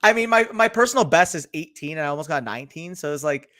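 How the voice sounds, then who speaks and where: A man's voice: on the high side, lively intonation, fast talker, pushed and bright, no room sound, studio quality. A young man with a Canadian accent, co-hosting a casual sports podcast and trading swear-laced banter. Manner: swearing, laid-back banter. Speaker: podcast co-host.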